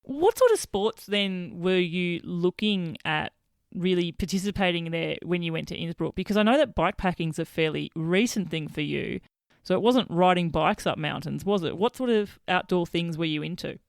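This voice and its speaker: Woman podcast host, smooth clear voice, dry close sound, chatty rambling questions. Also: fairly low pitch, expressive, moderate pace, no echo. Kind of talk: casual interview chat.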